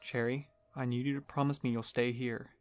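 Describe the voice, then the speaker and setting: A man's voice: medium pitch, level tone, fairly quick, natural voice. A man voicing a worried, earnest young male pony, a protective big brother in a dramatic storybook reading. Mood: urgent pleading. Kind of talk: dramatic storybook character acting. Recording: music behind the voice.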